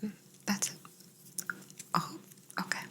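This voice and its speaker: Slow, whispering female alien examiner, acted by a woman. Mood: soothing, clinical. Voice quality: soft, breathy whisper. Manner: slow, soothing, measured instructions with murmured hums. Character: curious, gently clinical female alien examining an abducted human. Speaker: ASMR roleplay performer. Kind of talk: whispered ASMR personal-attention roleplay.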